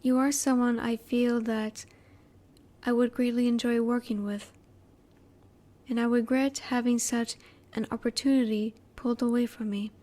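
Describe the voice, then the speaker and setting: A woman voicing a curious, reserved female alien scientist in a roleplay audio, speaking politely in formal, measured, halting phrases. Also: fairly high pitch, little pitch movement, mellow, lightly acted. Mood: quietly pleased. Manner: formal, measured, precise wording with halting pauses. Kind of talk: scripted roleplay audio, spoken intimately to the listener.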